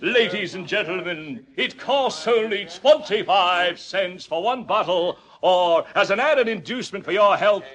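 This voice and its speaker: An actor playing a bombastic Old West patent medicine pitchman, declaiming grandly in a radio drama. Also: expressive, a little slow, hardly any pauses, fairly bright tone. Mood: grandiose, selling. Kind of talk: old-time radio acting, sales spiel.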